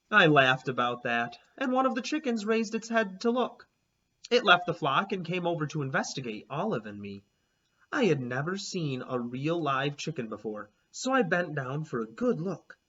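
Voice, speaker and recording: A male teacher reading a children's chapter book aloud, expressive and making chatty asides to young listeners. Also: fairly high pitch, husky. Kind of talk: children's read-aloud narration. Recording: slightly dull lo-fi recording.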